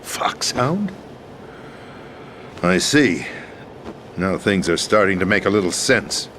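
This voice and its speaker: An actor playing a disillusioned middle-aged American president in a video game, speaking in a grave, deliberate, theatrical way. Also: male voice, sits low, big pitch swings, a bit bright, slight background noise, exaggerated voice. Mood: curious, guarded. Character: disillusioned, bitter middle-aged US president, power-hungry and weary. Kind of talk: dramatic video game voice acting. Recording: music behind the voice.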